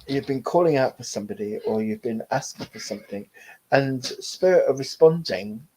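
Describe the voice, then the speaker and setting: A man in the middle of a live spirit reading, talking gently and reassuringly. His speech wanders, full of fillers, restarts and soft laughs, at an ordinary male pitch. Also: expressive, moderate pace, husky, poor audio. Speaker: medium. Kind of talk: live online mediumship reading.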